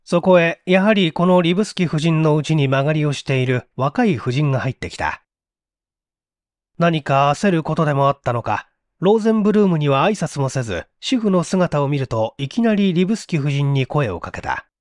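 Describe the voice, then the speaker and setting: Male narrator for mystery-style fiction: scripted, dramatic, slightly high voice, animated intonation. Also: moderate pace, no room sound, clean studio sound. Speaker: audiobook narrator.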